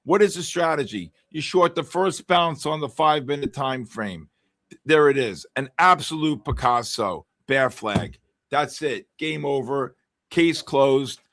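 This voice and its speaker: A man with a Bronx accent hosting live market commentary, loud, exclamatory and wisecracking. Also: medium pitch, measured pace. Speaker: trading show host.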